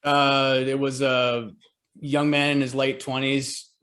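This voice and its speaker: A man in his forties with a Southern American accent chats easily about his work over a video call. His voice sits at an ordinary middle pitch, and his talk is relaxed and self-deprecating, with dry humor. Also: slow pace. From Georgia, US. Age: 40s. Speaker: real estate agent and team leader. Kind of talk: casual interview on a call.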